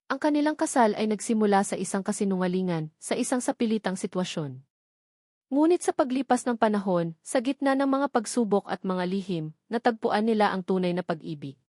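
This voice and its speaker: A woman's voice: mid-range voice, darkish tone, no room sound, clean studio sound. A woman with a Filipino accent reading scripted narration for a romantic audio drama. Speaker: story narrator.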